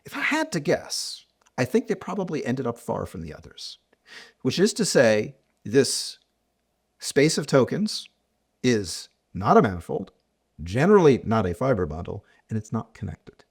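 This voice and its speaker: A man's voice: medium pitch, wildly swooping pitch, normal speed, husky. A middle-aged man, a mathematician being interviewed, explaining ideas thoughtfully with frequent restarts and examples. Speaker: mathematician guest. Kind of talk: academic podcast interview.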